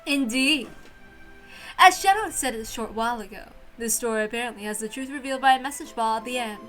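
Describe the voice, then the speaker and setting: A woman's voice: fairly high pitch, fairly quick, fairly bright tone, soft background music, close to an everyday voice, poor audio. A woman voicing a haughty, playful witch centuries old, theatrical and teasing, in a dramatic visual novel reading. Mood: challenging, grand. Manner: theatrical, teasing, given to chuckling. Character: haughty, playful centuries-old female witch who relishes mystery games.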